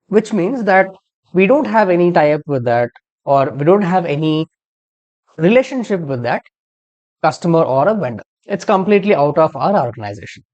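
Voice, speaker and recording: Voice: medium pitch, a little mellow. An instructor narrating a tutorial in an explanatory, step-by-step manner.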